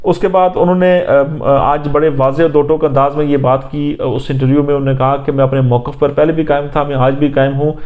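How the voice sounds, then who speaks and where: A man with a Pakistani accent giving unscripted political news commentary, recounting things in a halting, repetitive way with many fillers. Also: a little high, flat delivery, phone-quality sound.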